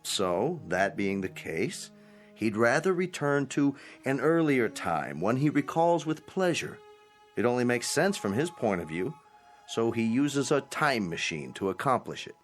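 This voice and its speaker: An actor playing a calm manager of an old folks' home in a radio drama, speaking in a patient, placating way. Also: male voice, medium pitch, a little slow, faint music behind. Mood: patient, reasoning.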